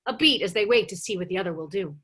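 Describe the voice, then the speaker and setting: A woman narrates a script at a table read in a bright, forceful voice of middle pitch. She reads the stage directions as animated storytelling. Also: fast talker, slightly rough.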